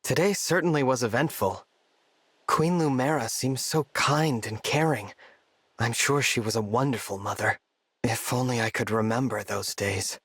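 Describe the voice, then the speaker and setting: An actor playing an earnest, soft-hearted young hero in a video game, hesitant and sincere. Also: male voice, medium pitch, moderate pace, slightly rough, no echo. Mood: wistful. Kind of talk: scripted video game voice acting.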